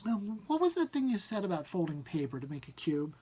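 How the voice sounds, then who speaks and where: A male narrator acts out a fat little old experimenter from a storybook tale. He is easily agitated, with a husky voice and halting, exclamatory delivery that can settle into thought. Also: sits high, very animated, dark tone, full character voice. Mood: calming, thoughtful.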